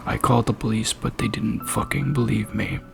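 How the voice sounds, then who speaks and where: A man with a gravelly voice giving a dramatic reading and scornful review in a deliberate, foul-mouthed manner. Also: on the low side, fairly even intonation. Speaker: creepypasta narrator and reviewer. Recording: music playing behind the voice. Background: background music.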